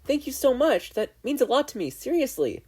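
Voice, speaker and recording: Teenage schoolgirl, voiced by a narrator: bubbly, earnest, lively delivery, slightly husky tone. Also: high-pitched, a little fast, voice pushed up, heavily acted. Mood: delighted. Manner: upbeat, earnest, playful. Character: bright, bubbly, friendly teenage girl student. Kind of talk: fan fiction audiobook character voice.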